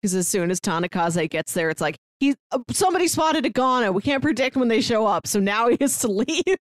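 A woman's voice: fairly high pitch, lively intonation, fairly quick, dry recording, clean studio sound. A woman co-hosting a podcast, chatting casually and retelling a story in an informal way with frequent asides. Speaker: podcast co-host. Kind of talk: casual book discussion chat.

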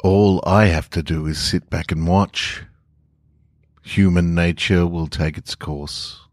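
A man's voice: low voice, fairly even intonation, slow, no room sound, studio quality. A man reading as a smug, gloating spirit of evil. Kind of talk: scripted first-person story reading.

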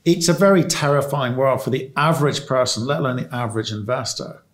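A male interviewer asks long, searching questions, often restarting mid-sentence. He speaks at a middling pitch in a plain conversational tone. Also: brisk. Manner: probing, long rambling questions. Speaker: interviewer host.

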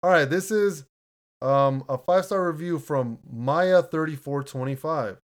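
A man with a deep voice, co-hosting a casual podcast and reading listener reviews in an easygoing way, laughing often and restarting his words. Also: a little slow. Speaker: podcast co-host. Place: home room, recording remotely.

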